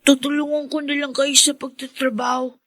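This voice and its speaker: A woman's voice: high-pitched, little pitch movement, moderate pace, a bit bright, dry recording. A woman playing an earnest, worried child in a Filipino radio drama, speaking in a hesitant, pleading way. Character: earnest, worried young child Benji, loyal to mother.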